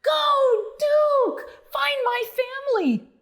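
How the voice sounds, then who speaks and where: A woman voicing a brave, excitable little male frog in a children's storybook, with drawn-out, exclamatory cheering. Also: extremely high pitch, vocal fry, pitched above the performer's own voice, exaggerated voice. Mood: excited cheering.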